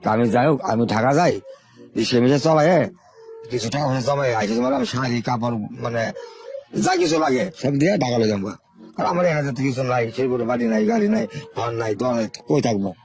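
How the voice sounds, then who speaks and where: An older man from Bangladesh, a guest telling his life story in a rambling, halting, repetitive way. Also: mid-range voice, big pitch swings, vocal fry, crowd noise. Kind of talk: informal spoken life story.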